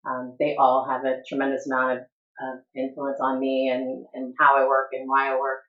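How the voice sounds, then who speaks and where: Low-pitched woman guest, candid, lots of ums, echoey dull recording. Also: completely flat delivery, normal speed. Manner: candid, laughs often, many "um" restarts. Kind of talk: casual interview talk. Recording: echoey room, dull lo-fi sound.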